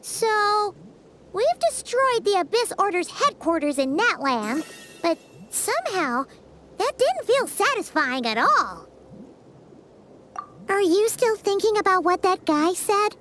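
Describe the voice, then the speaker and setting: A woman voicing a small, chirpy, childlike game companion who is curious and earnest, in a squeaky voice. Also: sing-song, slow, pauses often, fairly bright tone, a little hiss or hum, exaggerated voice. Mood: uneasy, concerned. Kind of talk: video game voice acting.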